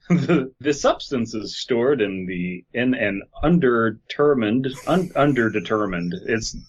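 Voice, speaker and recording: A man's voice: medium pitch, lively intonation, takes it slowly. A man co-hosting a comedy podcast, joking profanely and reading aloud casually, on a slightly dull microphone. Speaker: podcast co-host.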